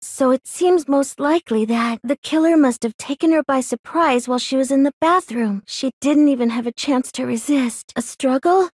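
A shy young game character with a high voice, recorded dry and close. The youngster is gentle and earnest, reasoning things out hesitantly. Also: female voice, moderate pace, few pauses, dry recording. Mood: earnest, timid. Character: timid, gentle, earnest youngster puzzling out a mystery.

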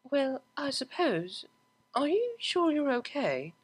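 A woman's voice: high voice, very animated, slow, voice pushed up, exaggerated voice. A woman voicing a proper young female pony cellist who speaks in formal, precise wording. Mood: wry. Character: prim, proper young mare cellist, worried.

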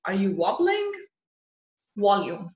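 A woman's voice: medium pitch, very animated, slow, a little mellow, roomy sound, lo-fi sound. A woman in her thirties from India with an Indian accent, giving an earnest, motivational webinar talk over slides. Her first languages are Hindi, Marwari and Bengali. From India (rural West Bengal). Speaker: webinar presenter.

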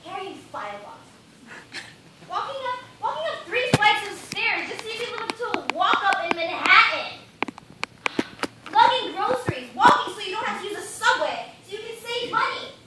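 A woman's voice: very high-pitched, sing-song, normal speed. A young woman acting a scornful, hardworking office assistant in a stage comedy, ranting emphatically to the house. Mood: scornful, worked up. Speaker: student stage actor. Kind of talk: live one-act comedy stage acting. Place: theatre stage, indoors. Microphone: distant audience camera. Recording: echoey theatre from far off, noisy.

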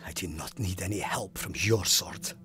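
An actor playing a pious, stubborn, haunted police sergeant in an audio drama, speaking in an intense, formal, demanding way. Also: male voice, low voice, moderate pace, close to an everyday voice. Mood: defiant. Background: music.

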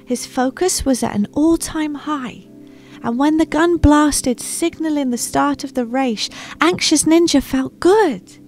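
High-voiced woman reading a children's story. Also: measured pace, a little mellow, soft background music. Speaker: storytime host reading picture books. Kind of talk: expressive children's story narration. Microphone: close microphone on a boom arm.

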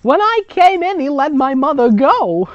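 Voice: high-pitched, big pitch swings, normal speed, no room sound, lo-fi sound, pitched above the performer's own voice. A reader voicing an elderly grandmother witch, theatrically reminiscing through an exaggerated storybook reading.

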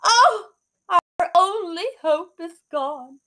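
A woman voicing a frantic, despairing poor mother, high and wailing. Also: steady tone, slow pace.

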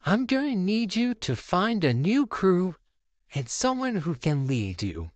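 A woman's voice: fairly low pitch, big pitch swings, measured pace, darkish tone, no room sound. A woman voicing a self-sacrificing pirate captain in amateur cartoon lines, solemn and tender. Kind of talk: animated character voice lines.

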